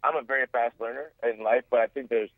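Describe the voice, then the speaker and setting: A man's voice: mid-range voice, fairly even intonation, fast, dry recording. A young man from Zimbabwe, a guest on a talk show, answering in earnest, rambling sentences with many restarts. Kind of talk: phone-in interview. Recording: tinny phone line.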